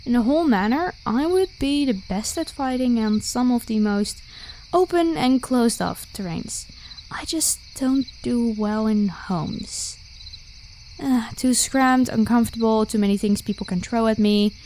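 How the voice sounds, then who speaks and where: Woman acting high-voiced carefree vampire warrior woman. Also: unhurried, dark tone. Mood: relaxed, nostalgic. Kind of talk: ASMR roleplay acting. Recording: clear background hiss with night crickets. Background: crickets and insect ambience.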